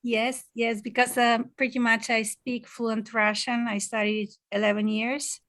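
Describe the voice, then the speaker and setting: Higher-voiced woman, dry close sound. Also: level tone, measured pace, a bit bright, dry recording. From Bulgaria. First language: Bulgarian. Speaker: university statistics teacher. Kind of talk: casual self-introduction in a meeting. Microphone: video call.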